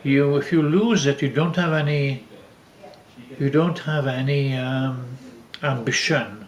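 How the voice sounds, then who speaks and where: An older man reminisces casually in a reverberant room. He rambles through family stories, stopping and restarting phrases as he goes, in a middle-pitched voice. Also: steady tone, highs cut off. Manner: rambling, halting with repeated false starts. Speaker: father telling family stories. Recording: echoey room.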